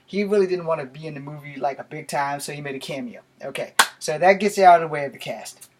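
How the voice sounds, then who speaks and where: A man's voice: fairly high pitch, quick pace. An American man in his forties giving a rambling, casual vlog talk to camera in a small bedroom. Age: 40s. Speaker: YouTube vlogger. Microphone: camera a few feet away. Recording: noisy home camera recording with clicks.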